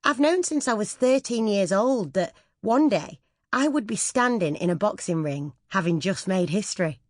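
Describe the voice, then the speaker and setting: A woman's voice: mid-range voice, lively intonation, moderate pace, dry recording, clean studio sound. A woman reading a scripted first-person memoir as an audiobook narrator.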